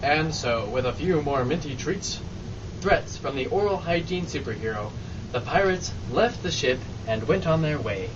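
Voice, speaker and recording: A man's voice: on the high side, lively intonation, moderate pace. A man reading scripted narration for an old-time radio drama parody. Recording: distant, muffled, noisy live amateur recording.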